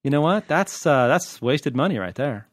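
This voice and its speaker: A man's voice: a little high, animated, normal speed, no room sound, studio quality. A man co-hosting a podcast in casual, opinionated banter, blunt and wryly teasing. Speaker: podcast co-host.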